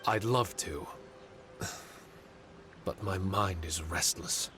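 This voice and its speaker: A man's voice: low-pitched, slow, heavy background noise. A man voicing a small paper-cutout spirit in a video game, earnest, formal and self-blaming. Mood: restless, remorseful.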